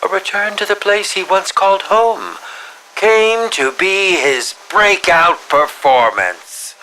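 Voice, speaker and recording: Theatrical, sinister villain, swooping pitch. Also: male voice, expressive, pushed and bright, slight background noise, heavily acted, rough recording. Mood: gleeful menace. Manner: melodramatic, drawn-out delivery with breathy whispered drops. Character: theatrical, sinister mad male film director villain, grieving and menacing. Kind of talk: scripted game villain monologue.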